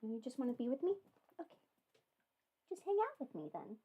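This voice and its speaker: A woman with a fairly high voice chats casually as a livestream host. She rambles in an informal way, thinking out loud as she talks. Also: wildly swooping pitch, brisk, slightly rough. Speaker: livestream host and voice performer answering viewer questions. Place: indoors at a desk at home.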